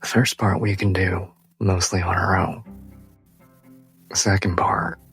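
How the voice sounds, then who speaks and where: A man playing a weary, weakened adventurer who speaks haltingly and politely in a husky voice. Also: deep voice, level tone, a bit bright, faint music behind, heavily acted. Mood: secretive. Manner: halting, hesitant, slow.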